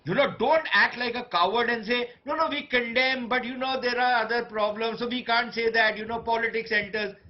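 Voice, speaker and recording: A man's voice: extremely high pitch, fairly even intonation, a little fast, few pauses. A man with an Indian accent giving opinionated debate commentary as a panelist, blunt, scornful and emphatic. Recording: dull lo-fi broadcast audio.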